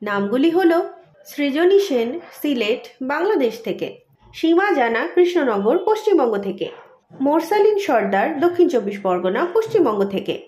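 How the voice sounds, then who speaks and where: A woman's voice: high-pitched, sing-song, normal speed, a little mellow. A woman announcer reading a scripted viewer quiz announcement in an echoey voice-over.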